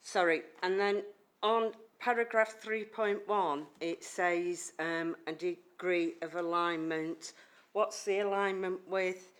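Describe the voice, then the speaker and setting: A woman committee member with a loud voice, asking questions in an apologetic manner. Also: fairly low pitch, steady tone, slow, clean tone.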